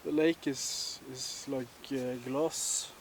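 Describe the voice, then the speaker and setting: A Norwegian man with a Norwegian accent, talking casually to the camera from a lakeside camp, relaxed and hesitant with many fillers. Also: medium pitch, slow pace, a little hiss or hum. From Norway. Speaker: outdoor vlogger.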